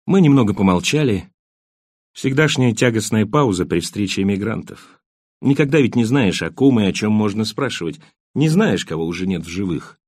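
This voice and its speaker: A man's voice: on the low side, animated, moderate pace, a little mellow, dry recording, studio quality. A man reading literary prose as an audiobook narrator, acting out the dialogue in a scripted style.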